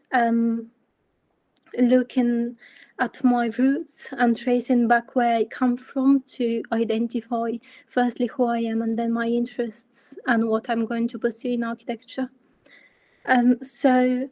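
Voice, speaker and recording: A young woman in her twenties from Bulgaria presenting her work in an online talk, measured, with frequent ums. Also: fairly high pitch, dark tone, no echo. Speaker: architecture student speaker.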